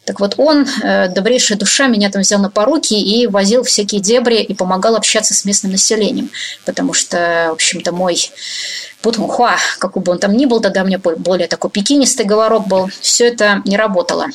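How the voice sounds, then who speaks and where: Woman researcher talking through her fieldwork, lots of ums and restarts, plain middle pitch. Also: a little fast. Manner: thoughtful, many fillers and restarts. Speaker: researcher, interview guest. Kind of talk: interview answers.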